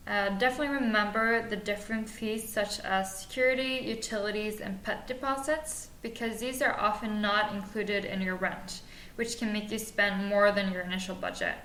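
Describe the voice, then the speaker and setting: A woman in her twenties from Norway, co-hosting a podcast and giving practical advice in a measured way with frequent fillers. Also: mid-range voice, fairly even intonation, a bit bright. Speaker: podcast co-host. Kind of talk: scripted podcast chat. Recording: echoey room, faint noise.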